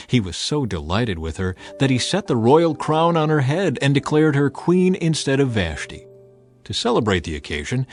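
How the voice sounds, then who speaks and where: A man reading scripture as a dramatic, expressive scripted narration. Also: mid-range voice. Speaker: Bible narrator. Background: music.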